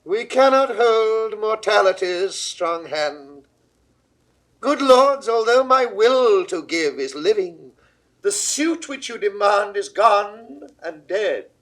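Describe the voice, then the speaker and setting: A man's voice: high-pitched, expressive, takes it slowly, a bit bright, full character voice. A man acting a proud, uneasy English king in a classical Shakespeare audio drama, with stately, declamatory, theatrical delivery. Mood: defensive, grave.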